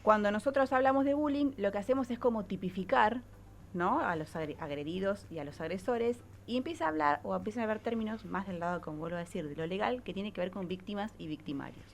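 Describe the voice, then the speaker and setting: Woman, Argentine accent, medium pitch, explanatory radio column, frequent tag questions, faint background noise. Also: lively intonation, fast, vocal fry.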